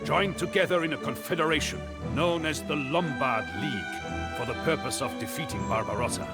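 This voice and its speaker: An actor playing a grave storytelling chronicler in a history game, narrating solemnly with dramatic pauses. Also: male voice, fairly high pitch, over loud music, close to an everyday voice. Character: grave storytelling chronicler of a medieval emperor's campaigns.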